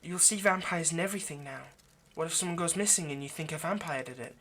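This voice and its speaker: A man plays an ageless male vampire in an intimate ASMR roleplay. He is a possessive but remorseful lover, explaining himself in halting, earnest pleas that can sink toward a hush. Some background noise sits behind the voice. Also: fairly high pitch. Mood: anxious, earnest. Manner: halting, earnest, pleading, dropping to near-whisper.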